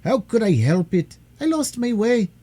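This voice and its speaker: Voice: mid-range voice, sing-song, moderate pace, warm tone, breathy edge, voice pushed up. A reader voicing a fretful, easily offended nurse to a young princess in a storybook. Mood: fierce. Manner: fussy, easily offended, scolding. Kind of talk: storybook character voice.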